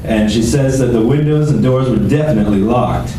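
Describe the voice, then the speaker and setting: Male actor as impatient radio cop; blunt, dark-toned, recorded in a very echoey room. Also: mid-range voice, brisk, natural voice. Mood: impatient, matter-of-fact. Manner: blunt, matter-of-fact. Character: gruff, impatient male police lieutenant. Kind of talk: 1940s radio detective drama acting. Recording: very echoey room, distant mic, faint noise.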